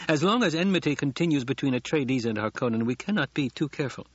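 A man voicing a shrewd, sweetly courteous noblewoman in an audiobook, with measured and formal speech. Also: medium pitch, animated, husky, no room sound, dull audio, pitched above the performer's own voice. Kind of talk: audiobook character acting.

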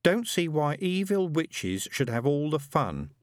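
A man's voice: a little high, huge pitch swings, moderate pace, dry recording, clean studio sound, pitched above the performer's own voice. A man voicing a sulky young boy who mutters and complains, in a storybook reading. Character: grumbling, sulky young boy who feels hard done by. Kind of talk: audiobook character voice.

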